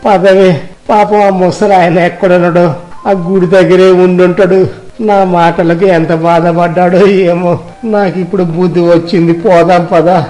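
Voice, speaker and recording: A man playing an arrogant rich village husband in a moral story, complaining and exclaiming in drawn-out phrases. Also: high-pitched, little pitch movement, warm tone, low-quality recording. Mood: remorseful. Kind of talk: acted moral-story dialogue.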